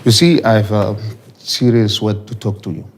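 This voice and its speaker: A man's voice: on the low side, steady tone, normal speed, very noisy, lightly acted. A man acting as a blustering, protective middle-aged father in a TV sitcom, with a Namibian accent and a grave manner. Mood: stern, protective.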